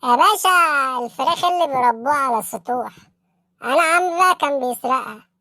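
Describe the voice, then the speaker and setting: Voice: high-pitched, quick pace, bright, forceful tone, dry recording, studio quality. A performer voicing a naive young street hustler in an Egyptian comedy cartoon, earnest, deferential and matter-of-fact, with an Egyptian accent. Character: naive young street hustler, respectful petty-crime suspect.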